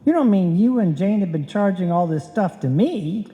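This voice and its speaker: An actor playing a wry, exasperated, penny-pinching husband in a live old-time radio comedy. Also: male voice, high voice, animated, normal speed, dark tone. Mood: indignant. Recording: dull, echoey hall stage recording.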